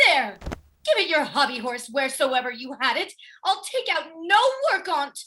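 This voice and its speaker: A jealous mistress acted by a woman in a Shakespearean radio play. She scolds indignantly in a high voice that leaps widely in pitch, sharp-tongued and spurned. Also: lively intonation, moderate pace, a little hiss or hum. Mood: jealous scolding. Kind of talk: Shakespearean radio drama acting.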